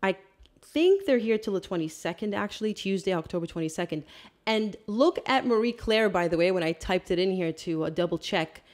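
A woman's voice: mid-range voice, expressive, a little fast. A woman in her thirties, not Australian-born, co-hosting a casual royal news podcast, chatty and emphatic as she reads from her notes, on a studio mic in a small room. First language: not Australian by birth. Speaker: podcast co-host. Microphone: studio mic on stand.

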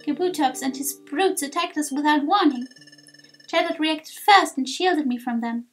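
Woman voicing a high, childlike creature guildmaster. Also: moderate pace, slight background noise. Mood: earnest, troubled.

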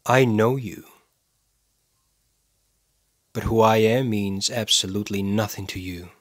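An actor playing a cold, self-righteous serial killer in a dramatic film monologue, speaking deliberately and with menace, pausing for effect. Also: male voice, fairly low pitch, dry recording, clean studio sound. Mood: cold, controlled. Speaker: actor performing a movie monologue.

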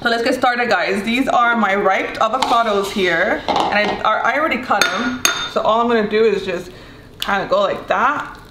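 A woman's voice: mid-range voice, normal speed. A young woman with a Mexican accent, chatting casually as she demonstrates a recipe in her home kitchen and sometimes searching for English words. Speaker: cooking vlogger. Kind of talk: casual cooking demo chat. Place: bright home kitchen, indoors. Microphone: camera mic from a few feet away. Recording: roomy kitchen with clear noise.

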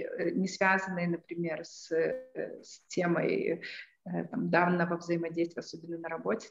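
A woman in her thirties giving an online lecture as a trainer, thoughtful and hesitant, with many fillers and restarts. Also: mid-range voice. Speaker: self-defense and assertiveness trainer. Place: small room indoors. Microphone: laptop webcam on a video call. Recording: echoey room, dull lo-fi sound.

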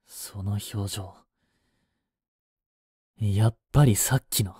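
Casting: male actor as a cool young butler, formal, devoted and jealous, dark mellow tone, close dry sound, romantic audio drama. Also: fairly low pitch, slow pace, breathy edge, dry recording. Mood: quietly suspicious. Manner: formal, polite to his mistress, curt to rivals.

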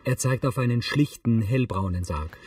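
A man reading scripted audio description for a TV series in a neutral, measured manner. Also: medium pitch, a little mellow, a little hiss or hum.

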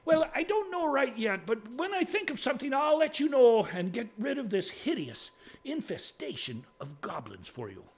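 A man's voice: very high voice, big pitch swings, moderate pace, creaky, breathy edge, voice pushed up, exaggerated voice. A man voicing a modest, helpful tinker hero in a folk tale, courteous and folksy. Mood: reassuring, polite. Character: modest, helpful, polite tinker hero who plays flute.

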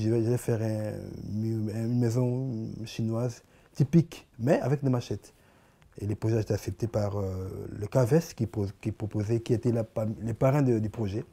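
A man in his forties with a Congolese French accent, answering interview questions in a living room, often stopping and repeating words as he searches for them. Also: mid-range voice. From Congo (Kinshasa). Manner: halting, many repeated words and restarts, animated hand gestures. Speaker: artist guest.

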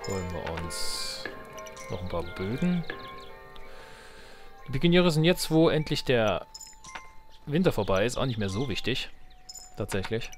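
A man's voice: medium pitch, very animated, unhurried, pauses often, soft background music. A man giving casual let's play commentary, thinking aloud in colloquial speech. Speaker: let's player.

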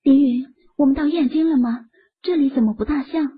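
A woman's voice: sits high, fairly quick, close to an everyday voice. A woman voicing an eager proud young woman. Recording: muffled phone-like audio.

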